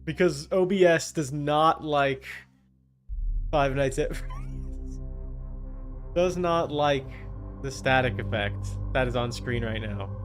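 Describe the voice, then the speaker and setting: A man chatting casually on a game livestream, thinking aloud and muttering to himself. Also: fairly high pitch. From Canada. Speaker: game livestreamer using an avatar.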